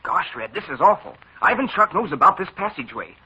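An eager young sidekick. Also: male voice, high voice, big pitch swings, a little fast, bright, forceful tone, breathy edge, full character voice. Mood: anxious eager. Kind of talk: 1940s radio acting. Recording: dull lo-fi old radio broadcast with hiss.

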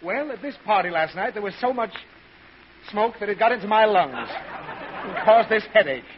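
A man's voice: high-pitched, animated, normal speed. An actor playing an exasperated, short-tempered, suffering father in old radio comedy, groaning and sputtering. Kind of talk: 1940s radio comedy acting. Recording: muffled, noisy old radio show with audience.

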